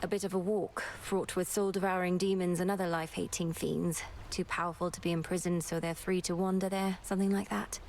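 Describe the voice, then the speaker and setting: A woman's voice: on the low side, level tone, fairly quick, few pauses, darkish tone, slight background noise, natural voice. A young woman playing a determined witch goddess in a video game, speaking formally and earnestly. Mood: dry, resolute. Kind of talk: theatrical video game dialogue.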